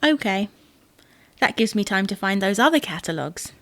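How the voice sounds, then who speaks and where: A fussy wife played by a woman in a domestic audio comedy. She bickers in an insistent, theatrically indignant way at a medium pitch. The sound is dry, with no room on it. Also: big pitch swings, moderate pace, no room sound, close to an everyday voice. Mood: impatient. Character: fussy, exasperated wife fretting over wedding clothes. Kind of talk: domestic audio sitcom acting.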